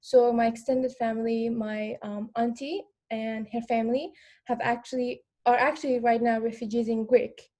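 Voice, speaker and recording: A young Afghan woman, speaking English as her second language, talks informally on a panel. Her voice is fairly high but mellow, with little swing in pitch. She moves haltingly, repeating words and leaning on casual fillers. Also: flat delivery. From Afghan, lived in Iran. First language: not English. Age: young adult. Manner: halting, repeats words, frequent "like" and "you know". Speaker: panel speaker, former refugee. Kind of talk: informal panel talk, personal account.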